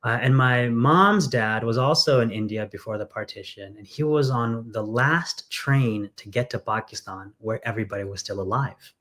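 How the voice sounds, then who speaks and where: A man's voice: mid-range voice, normal speed, few pauses, a bit bright. Earnest man, candidate in an interview. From England. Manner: earnest, storytelling, frequent fillers. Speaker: congressional candidate. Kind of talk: conversational interview.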